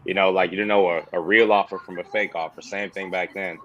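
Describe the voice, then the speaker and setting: A man in his thirties from the Bahamas, a former player turned coach, telling stories in a relaxed, slangy way with a toned-down Bahamian accent. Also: low voice, fast talker, dull audio. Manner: casual, slangy, says "bro" and "you know". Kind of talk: relaxed interview storytelling.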